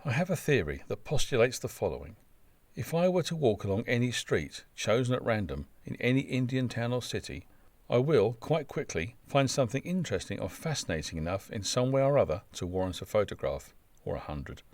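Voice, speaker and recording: A man's voice: mid-range voice, sing-song, brisk, a little mellow, no echo. A man reading a scripted travel narration in a wry, wordy, descriptive manner. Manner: wry, dry-humoured, wordy descriptive delivery.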